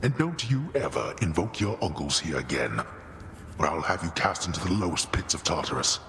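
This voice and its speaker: A man's voice: low voice, very animated, a little fast, a bit bright, long reverb tail, a little hiss or hum, exaggerated voice. A man voicing a stern, contemptuous male god of the dead scolding his son in a video game. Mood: scornful. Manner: cutting, contemptuous, commanding.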